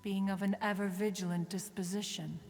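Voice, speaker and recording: A woman's voice: medium pitch, little pitch movement, fairly quick, a little mellow, natural voice. A woman voicing a dignified, haughty ancient immortal in a video game, speaking in formal, archaic phrases. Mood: solemn narration. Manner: formal, archaic, calls herself "one". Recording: long reverb on game dialogue.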